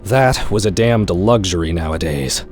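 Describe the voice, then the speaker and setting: A man's voice: sits low, measured pace, a little mellow, soft background music. A man reading a scripted short story in a wry, sardonic storytelling manner, like a horror-comedy audiobook. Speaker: author-narrator reading own short story.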